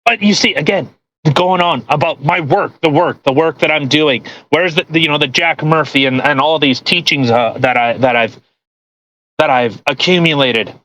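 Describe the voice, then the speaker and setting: A man's voice: fairly high pitch, normal speed, fairly bright tone, rough recording. A man co-hosting a podcast, doing a mocking dramatic reading with sarcastic, profane heckling and banter. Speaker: podcast co-host.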